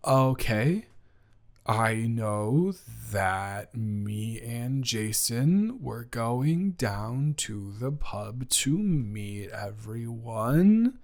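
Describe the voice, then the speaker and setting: Slow, stammering, flustered young guy played by a man. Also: mid-range voice, animated, a little mellow. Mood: confused, rambling. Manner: stammering, rambling, awkwardly backtracking. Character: flustered, befuddled young man, best friend of listener's boyfriend. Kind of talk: improvised roleplay audio drama, addressed to the listener.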